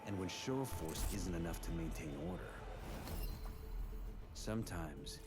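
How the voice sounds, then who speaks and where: An actor playing a grim, menacing police officer, delivering a solemn, deliberate, ominous monologue in a video game cutscene. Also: male voice, low voice, very noisy. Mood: grave, cold. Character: grim, menacing hardline male police officer narrating his creed. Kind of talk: dramatic scripted cutscene monologue.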